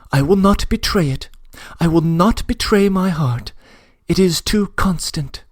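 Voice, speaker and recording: A man's voice: sits high, darkish tone, no echo, studio quality, pitched above the performer's own voice. A man reading aloud, voicing a young woman's tearful, loving letter with an imploring manner. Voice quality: emotional, imploring. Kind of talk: audiobook reading.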